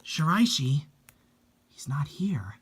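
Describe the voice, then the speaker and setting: A man's voice: on the high side, sing-song, slow, fairly bright tone, breathy edge, full character voice. Man voicing an anxious, hesitant teenage girl. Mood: nervous disbelief. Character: anxious, curious teenage schoolgirl, easily startled.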